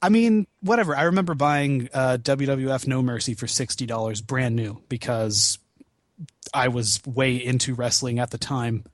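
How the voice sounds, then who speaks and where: A man's voice: medium pitch, normal speed, no room sound. Wry, sweary man chatting casually, dry sound. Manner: profane, self-deprecating, wry. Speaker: podcast guest, video game marathon founder. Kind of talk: casual podcast chat about video games.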